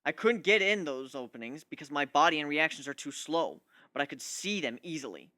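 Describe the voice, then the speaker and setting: A man's voice: a little high, animated, fairly quick, pushed and bright, no room sound, studio quality. A man voicing a cocky, scheming male griffin in a dramatic story reading, talking in a boastful, glib way. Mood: smug, confident. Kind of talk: dramatic reading of fan fiction.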